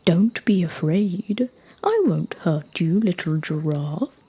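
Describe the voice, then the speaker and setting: A big, courteous male elephant from a children's storybook, voiced by a woman. He speaks very slowly and reassuringly, with a husky, creaky, booming delivery. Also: expressive, mellow, heavily acted. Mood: friendly, reassuring.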